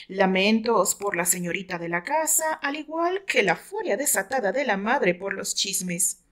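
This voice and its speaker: A woman with a Mexican accent reads a dramatic novel aloud in a measured, expressive storytelling manner. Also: medium pitch. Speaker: author narrating her own novel. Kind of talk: dramatic audiobook narration.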